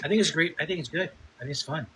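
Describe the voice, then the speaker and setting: A man's voice: a little high, very fast, bright, forceful tone, a little hiss or hum, poor audio. A man in his fifties chatting casually on a live stream, rambling, repeating himself and swearing as he reads comments. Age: 50s. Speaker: food content creator streaming live. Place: home, Chicago. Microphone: phone.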